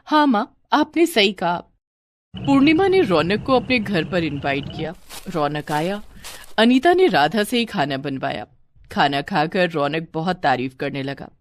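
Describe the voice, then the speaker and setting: A woman's voice: deep voice, big pitch swings, measured pace. A woman reading a scripted moral bedtime story as a storyteller.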